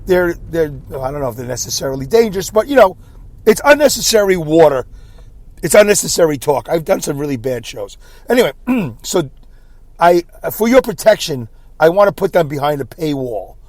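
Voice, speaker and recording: A man hosting his own podcast with a scratchy voice, rambling in a self-deprecating way and clearing his throat often. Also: fairly high pitch, sing-song, moderate pace, darkish tone, dry recording. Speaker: podcast host. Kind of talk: solo rambling podcast monologue. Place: inside a car.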